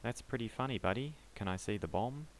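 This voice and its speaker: A man reads a fed-up, sneering driver in an audiobook, a deep voice nudged higher. The lines come out scornful and biting. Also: animated, brisk, slight background noise. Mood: sarcastic. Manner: scornful, sarcastic. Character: gruff, sarcastic angry driver, middle-aged man.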